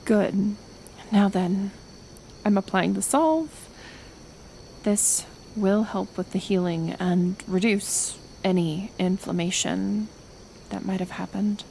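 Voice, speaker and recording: Slow-speaking, creaky-voiced actress as a stubborn woman healer. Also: mid-range voice, slight background noise, poor audio. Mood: calm, firm. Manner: unhurried, gentle but firm, with sighs and pauses. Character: kind, stubborn reclusive woman healer tending a wounded werewolf. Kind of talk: ASMR roleplay voice acting.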